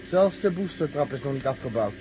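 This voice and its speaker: A man's voice: mid-range voice, animated, a little fast, darkish tone, close to an everyday voice. An actor playing a nervous, suspicious rocket crewman who grumbles emphatically in an old radio drama. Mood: incredulous, sceptical. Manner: agitated, sceptical, emphatic. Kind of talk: 1960s radio drama acting. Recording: noisy old radio recording, vehicle hum.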